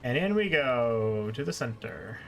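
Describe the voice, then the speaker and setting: A man's voice: mid-range voice, very animated, unhurried, gravelly, fairly bright tone. A man giving casual, explanatory live commentary as he streams a video game. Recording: game music behind the voice. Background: video game music.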